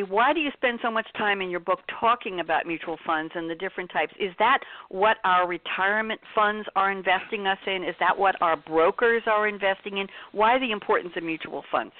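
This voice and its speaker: A woman's voice: medium pitch, dry recording. A woman hosting a radio talk show from a New York studio, chatting with a caller in a bubbly way and laughing easily. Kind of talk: casual radio interview. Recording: phone-quality radio audio.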